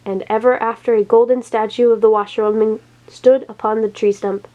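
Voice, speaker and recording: A woman reads a children's story aloud as the storyteller. Her voice sits a little higher than average, in a narrated read-aloud style. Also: fairly even intonation, fairly quick, highs cut off.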